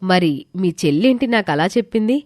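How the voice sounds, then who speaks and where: A woman plays a caring female schoolteacher in an animated moral tale. She sounds supportive and concerned, slightly raised in pitch, on a dry recording. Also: moderate pace, no room sound, natural voice. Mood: kindly, supportive. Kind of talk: animated moral story character voice.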